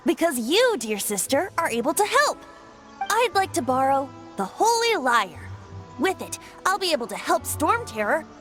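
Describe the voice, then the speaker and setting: A woman's voice: high-pitched, very animated, unhurried, a bit bright, full character voice. A woman playing a playful, theatrical young male bard who is a god in disguise, in a fantasy video game. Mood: playful, coaxing. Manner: playful, grandiose, cajoling. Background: background music.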